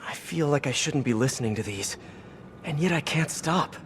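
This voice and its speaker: An actor playing an earnest young superhero detective in a video game, musing aloud with curiosity and worry over music. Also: male voice, on the high side, lively intonation, moderate pace, slight background noise, natural voice. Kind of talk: video game voice acting, investigative monologue.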